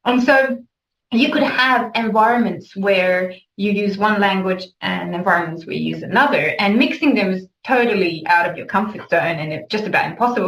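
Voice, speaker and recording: A woman's voice: mid-range voice, fairly bright tone. Woman linguist, roomy distant sound. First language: Romanian. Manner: thoughtful, repeats words while thinking. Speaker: linguist and researcher. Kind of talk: interview talk. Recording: roomy echo, distant mic.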